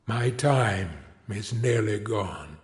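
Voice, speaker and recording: A man's voice: on the low side, lively intonation, takes it slowly, voice pushed down. A man voicing the anguished ghost of an old businessman bound in chains, solemn and grieving as he declaims his warnings. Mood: mournful warning.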